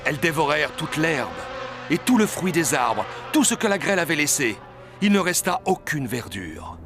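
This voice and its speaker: Higher-pitched man narrating a story. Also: big pitch swings, normal speed. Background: music, outdoor ambience effects.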